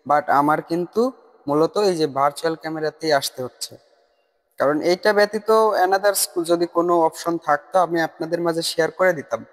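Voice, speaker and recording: A man giving a casual, insistent explanatory voice-over for a tutorial video. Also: a little high. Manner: insistent, repetitive, addressing viewers as brother. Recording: long reverb on the voice. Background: voice-over recorded separately from the screen capture.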